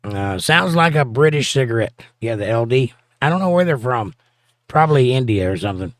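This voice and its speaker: A man's voice: mid-range voice, animated, fairly quick, dry recording. A man with a Southern accent hosting a livestream, reading viewer comments in a casual, teasing way and chuckling often. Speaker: livestream host reading chat. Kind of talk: casual chat.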